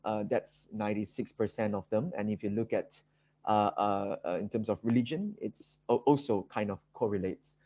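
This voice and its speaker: A man with a Malaysian accent giving an academic seminar presentation, speaking haltingly with very frequent ums and repeated words. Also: lowish voice, darkish tone. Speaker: guest lecturer. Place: online video call seminar. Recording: dull, roomy video-call audio.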